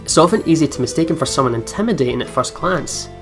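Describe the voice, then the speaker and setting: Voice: medium pitch, animated, quick pace. A let's play host reading game text aloud with casual commentary, over game music.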